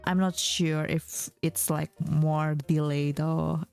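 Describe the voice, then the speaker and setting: A woman's voice: deep voice, fairly even intonation, slow pace, vocal fry, a little mellow, smooth, soft background music. A young woman streamer who is not a native English speaker, chatting casually with her viewers in a rambling way and laughing often. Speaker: vtuber streamer.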